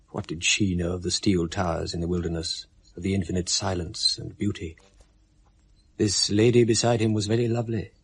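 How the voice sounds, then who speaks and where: Husky low-voiced male narrator. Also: darkish tone. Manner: measured, literary. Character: detached male narrator of a space drama. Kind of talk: 1970s radio drama narration.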